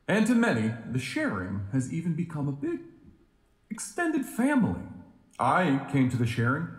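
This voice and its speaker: A man playing a charismatic organization president with veiled menace, giving a deliberate, grandiose podium speech in an audio drama. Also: mid-range voice. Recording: echoey hall with long reverb.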